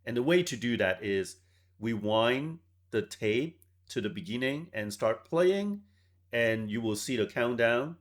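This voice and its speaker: A man in his forties who grew up in Hong Kong, a software founder telling stories in a measured way as an interview guest. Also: mid-range voice, expressive. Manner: measured, tags points with "right?". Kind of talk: reflective storytelling interview.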